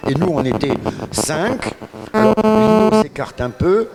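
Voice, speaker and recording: A man in his sixties from France, a radio guest telling lively anecdotes and laughing often. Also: high voice, measured pace, over loud music. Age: 60s. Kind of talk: storytelling interview.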